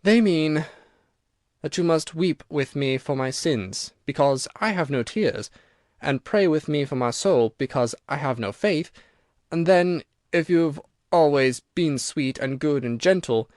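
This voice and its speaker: A man reading aloud and voicing a lonely, weary old ghost, speaking low and dreamily. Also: animated, unhurried, darkish tone, no echo, studio quality. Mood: solemn. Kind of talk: audiobook reading.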